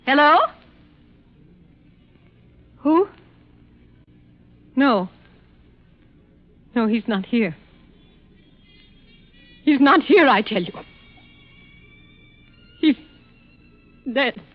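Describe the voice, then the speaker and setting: An actress playing an emotional, high-strung young woman of the theatre in a 1940s radio drama. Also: expressive, extremely slow, pauses often, faint music behind, exaggerated voice. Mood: shaken. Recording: dull vintage radio broadcast recording.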